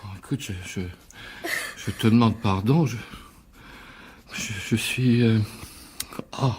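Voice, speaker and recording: An actor playing an exhausted, erratic and obsessive married man in a French radio drama, speaking in halting, repetitive phrases. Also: medium pitch, a little mellow, slightly rough. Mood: halting, drained.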